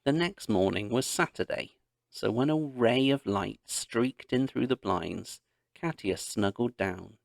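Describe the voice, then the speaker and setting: A man narrating a children's story aloud in an expressive, dramatic storytelling manner. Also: medium pitch, unhurried, creaky, no room sound, clean studio sound. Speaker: audiobook narrator.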